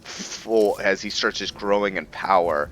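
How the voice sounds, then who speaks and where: A man with a very low, bright-edged voice hosts a roleplay game video. He talks casually and thinks aloud, pausing and feeling his way through sentences. Faint noise and ambience sit behind him. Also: poor audio. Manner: thinks aloud, halting, trailing pauses. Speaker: gaming video host.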